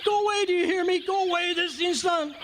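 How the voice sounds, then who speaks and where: A man playing a panicky, hysterical French lighthouse keeper in a radio drama, shrill and frantic. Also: a little fast. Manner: shrill, frantic, repeating himself. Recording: noisy live outdoor stage recording.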